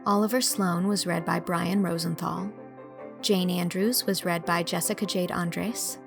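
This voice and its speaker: Woman narrator with a slightly creaky voice. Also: lowish voice, fairly even intonation, a little slow, over music. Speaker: audiobook narrator and host. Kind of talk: scripted narration.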